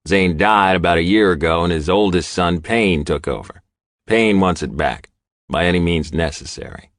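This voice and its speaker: A man plays a tough outlaw biker lieutenant with a low voice. He is grim, terse and matter-of-fact, heard close and dry in an audiobook. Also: moderate pace, no echo, natural voice. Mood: grim, blunt.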